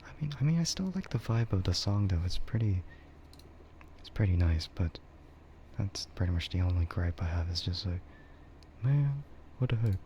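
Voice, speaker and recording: A man streaming in a casual, rambling ASMR chat, speaking softly and hesitantly in a meandering way. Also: low voice, expressive, normal speed. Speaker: VTuber streamer. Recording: dull lo-fi microphone with faint noise.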